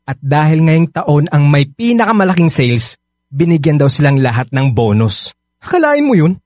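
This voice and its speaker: A man's voice: a little high, big pitch swings, normal speed, darkish tone, no room sound. A Filipino-accented man acting in a radio drama as an evasive, resentful young husband and father on the defensive.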